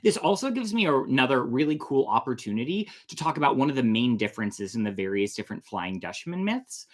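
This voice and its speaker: A man's voice: medium pitch, big pitch swings, brisk. A man hosting a casual storytime, chatty and jokey as he explains things in asides. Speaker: storytelling host.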